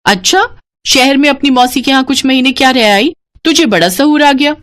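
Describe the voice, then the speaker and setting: Casting: humble young village friend played by a female storyteller, highish voice, frank and earnest, storybook style. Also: normal speed, fairly bright tone. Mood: teasing. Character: modest, plain-spoken young village woman, loyal friend.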